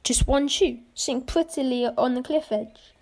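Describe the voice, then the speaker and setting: A young woman playing a brisk, wry adventurer who takes charge in an amateur radio mystery, with clipped, old-fashioned phrasing. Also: high voice, a little mellow, poor audio. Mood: wry, reasoning. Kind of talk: amateur radio play acting.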